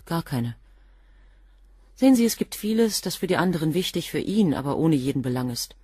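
Guarded, husky, coolly defiant assistant. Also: female voice, sits low, sing-song, brisk, dark tone, no room sound, clean studio sound, full character voice. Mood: defiant, controlled. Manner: precise, cool, clipped answers. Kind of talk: German crime radio play dialogue.

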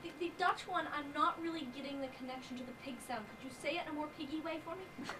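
A woman's voice: sits high, quick pace. A woman in the audience asking playful questions of a presenter. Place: hotel function room. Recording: distant voice with clear room hiss.

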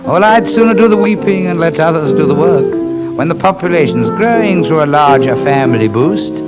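Posh english man doing a comic song, kinda high voice, muffled old record with loud band music. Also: expressive, fairly quick. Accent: upper-class English. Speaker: comic singer. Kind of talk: 1930s comic patter song with musical accompaniment. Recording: dull, lo-fi 1930s record.

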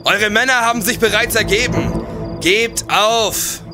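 An actor playing a resolute, shrewd nineteenth-century police detective in a crime audio drama. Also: male voice, sits high, over music. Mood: commanding shout. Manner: assured, deliberate.

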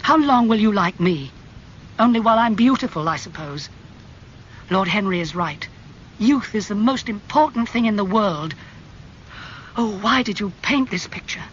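A vain, impassioned young man, as an audiobook reader plays him, his voice raised and swinging widely as he pleads. The sound is slightly muffled over a faint rumble. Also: big pitch swings, normal speed, sharp tone. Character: beautiful young man, vain and desperate about aging.

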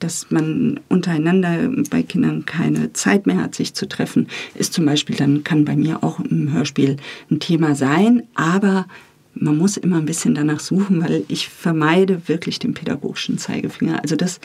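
Husky-voiced middle-aged woman in conversation. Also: lowish voice, darkish tone. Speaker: interview guest, radio drama editor and director. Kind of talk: interview talk about radio drama work. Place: recording studio.

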